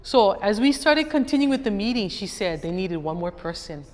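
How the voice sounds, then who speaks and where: Woman, Hawaiian accent, middle-aged, animated storyteller, long hall reverb. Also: mid-range voice, normal speed. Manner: animated, lively storyteller who acts out other people's voices. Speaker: guest speaker and educator. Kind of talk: storytelling talk to an audience. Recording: echoey hall, faint background noise.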